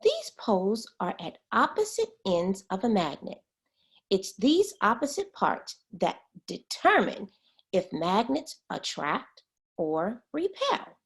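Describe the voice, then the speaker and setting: Slow, animated woman reading to children. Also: mid-range voice. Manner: expressive, explains words as she goes. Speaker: teacher reading a book aloud. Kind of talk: read-aloud of a children's science book.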